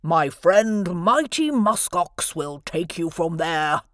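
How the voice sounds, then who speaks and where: A woman's voice: lowish voice, lively intonation, takes it slowly, dry recording, clean studio sound. A woman voicing a huge, proud male walrus who bellows his proclamations in a storybook reading. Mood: bellowing, grand.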